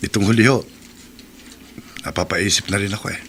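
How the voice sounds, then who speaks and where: A man's voice: on the low side, fairly quick, a bit bright, slight background noise, close to an everyday voice. An actor playing a troubled soldier son in a radio drama, earnest and respectful as he speaks of his doubts. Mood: reflective, troubled. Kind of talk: Tagalog radio drama acting.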